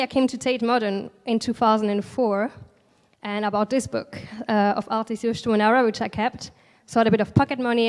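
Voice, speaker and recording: A woman in her thirties from Alsace in France, a native French speaker with a French accent, speaking gently and reflectively as an artist guest in a stage interview into a handheld mic. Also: a little high. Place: large indoor event space at a museum, on stage. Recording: long hall reverb.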